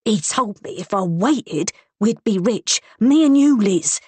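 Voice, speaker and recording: A woman voicing a surly, injured rough man who mumbles gruffly and snaps savagely. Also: mid-range voice, lively intonation, unhurried, a little mellow, no echo, pitched above the performer's own voice.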